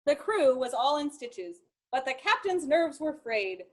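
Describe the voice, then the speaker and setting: A woman reading a children's picture book aloud expressively, in measured rhyme with chatty asides. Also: sits high. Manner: expressive, measured rhyming delivery. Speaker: storytime reader.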